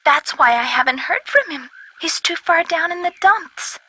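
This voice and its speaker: A woman's voice: very high voice, expressive, moderate pace, bright, forceful tone, heavily acted. A woman voicing a kindly, quaint elderly lady in a video game, genteel, wordy and fluttery. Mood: dismayed. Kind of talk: video game character dialogue.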